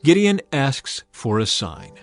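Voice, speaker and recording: A male narrator reads a scripted Bible story in dramatic style. His voice sits at a middle pitch, with music playing behind it. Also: very animated, slow pace.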